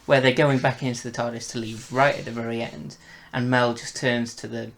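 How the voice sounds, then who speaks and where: A man's voice: mid-range voice, level tone, a little fast, a bit bright. A man co-hosting a casual, enthusiastic review conversation. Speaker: podcast co-host reviewing audio dramas.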